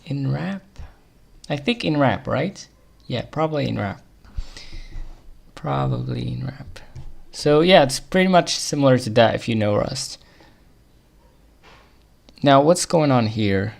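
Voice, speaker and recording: A man with an Arabic accent thinking aloud while debugging code on a live coding stream, muttering to himself with quick chuckles. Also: medium pitch, lively intonation, vocal fry.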